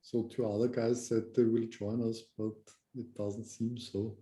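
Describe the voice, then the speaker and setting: Dark-toned man in an echoey room. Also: on the low side, flat delivery, measured pace. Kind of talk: casual work meeting chat.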